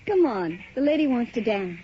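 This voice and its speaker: A teasing, sly flapper seductress. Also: female voice, on the high side, big pitch swings, moderate pace, slight background noise. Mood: flirtatious. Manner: coy, teasing, slangy twenties talk. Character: mysterious teasing flapper woman, seductive and mocking. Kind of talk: 1980s radio drama acting. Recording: dull older radio recording.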